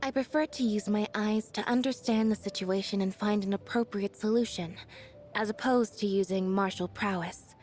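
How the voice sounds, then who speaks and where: A woman voicing an aloof, quiet young female magician's assistant in a video game, deadpan, reserved and understated. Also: medium pitch, normal speed, soft background music, lightly acted. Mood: thoughtful. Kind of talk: video game character voice lines.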